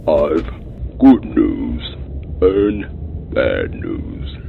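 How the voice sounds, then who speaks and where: A man doing a very deep gravelly rabbit officer. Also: big pitch swings, very slow, narrow, tinny audio, a little hiss or hum, full character voice. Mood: grim.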